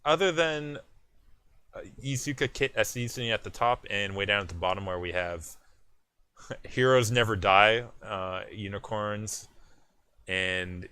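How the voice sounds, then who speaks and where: A man's voice: lowish voice, measured pace, bright, forceful tone, clear, resonant tone. A man with a Canadian accent co-hosting an esports show, reading out match results in casual chat with frequent restarts.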